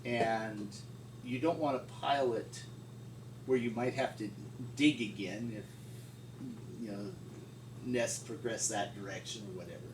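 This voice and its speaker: A middle-aged man reminiscing informally as an interviewee, in a halting, folksy way. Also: fairly low pitch. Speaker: paleontologist interviewee. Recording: distant mic, echoey room, loud noise.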